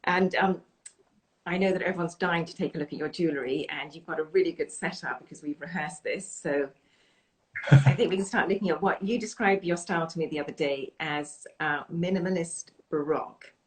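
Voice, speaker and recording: A woman's voice: low voice, fairly quick, low-quality recording. A woman hosting a video call interview from her home in London, chatty and full of effusive praise. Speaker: interview host.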